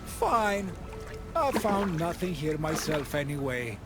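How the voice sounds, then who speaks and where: A man's voice: a little high, big pitch swings, slow, music in the background, exaggerated voice. An actor playing a fussy, peevish dead man in a video game, haughty and complaining. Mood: indignant. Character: fussy, indignant robbed dead man in the underworld. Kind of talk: video game dialogue acting.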